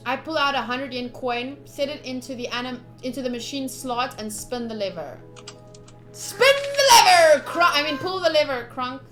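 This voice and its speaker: A young woman streaming a visual novel, reading the text aloud with casual, gushy, expressive commentary. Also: high voice, moderate pace. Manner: expressive, gushy, slips into cute exclamations. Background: soft game music.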